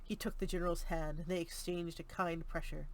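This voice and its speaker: A woman's voice: on the low side, little pitch movement, fairly quick, poor audio. A woman reading a gothic novel aloud as scripted audiobook narration. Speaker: audiobook reader.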